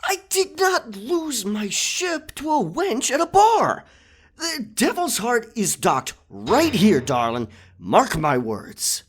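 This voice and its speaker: Husky, roguish captain, swinging wildly. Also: male voice, very high-pitched, wildly swooping pitch, takes it slowly, full character voice. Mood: indignant. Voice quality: husky, breathy. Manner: theatrical, indignant outbursts, teasing asides. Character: boastful, roguish, flirtatious male pirate captain. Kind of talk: roleplay ASMR monologue.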